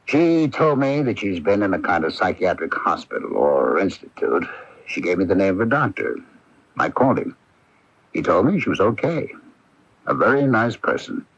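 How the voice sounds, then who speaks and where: Genial, wry local newspaperman, lively inflection, slightly rough voice; radio drama. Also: low-pitched, normal speed, no echo, exaggerated voice. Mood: matter-of-fact. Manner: folksy, wry, breezy. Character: genial, wry small-town newspaperman, chatty and teasing. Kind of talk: 1980s radio drama acting. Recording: dull, lo-fi 1980s radio broadcast.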